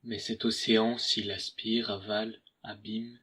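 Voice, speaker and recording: Slow, low-voiced man reciting poetry. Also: level tone, pushed and bright, a little hiss or hum.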